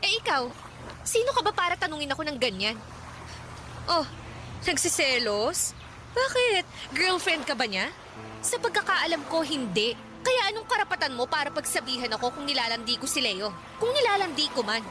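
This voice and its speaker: High, swooping, feisty Filipina rival. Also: female voice, sing-song, normal speed. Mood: scornful, mocking. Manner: mocking, confrontational. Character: feisty, taunting young female romantic rival. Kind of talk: Tagalog radio drama acting. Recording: noisy ambient sound behind dialogue.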